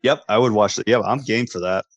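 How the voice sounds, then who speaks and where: An American man co-hosting a sports podcast in casual chat, agreeable and a bit halting, often repeating himself. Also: lowish voice, no room sound.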